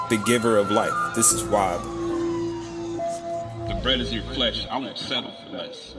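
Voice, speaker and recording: A man with a fairly low voice performs rhyming spoken word in a steady rhythm. Loud music runs behind him. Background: loud music backing.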